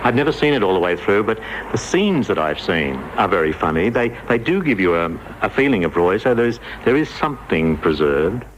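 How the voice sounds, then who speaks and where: Low-voiced man, animated narrator. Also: a little fast, few pauses, a little hiss or hum, rough recording. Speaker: radio documentary presenter. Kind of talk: scripted documentary narration.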